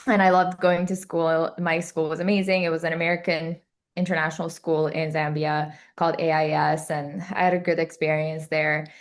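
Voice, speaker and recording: Young woman, Albanian native speaker, low voice, chatty, laughs often, podcast guest. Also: flat delivery, normal speed. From Kosovo. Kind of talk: casual interview chat.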